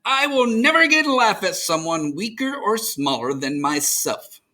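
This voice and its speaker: A proud young male lion from a children's storybook, acted out by a man. He speaks emphatically, with a bright, forceful tone and pitch that swings widely. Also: very animated, measured pace, heavily acted. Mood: grateful. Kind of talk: children's storybook read-aloud.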